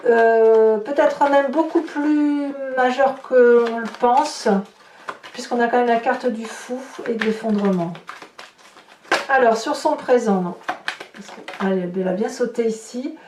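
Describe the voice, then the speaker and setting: Higher-voiced woman, distant in an echoey room. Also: unhurried, a little mellow. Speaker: tarot reader, channel host. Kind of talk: informal tarot reading addressed to viewers. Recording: distant mic, roomy echo, noticeable hiss.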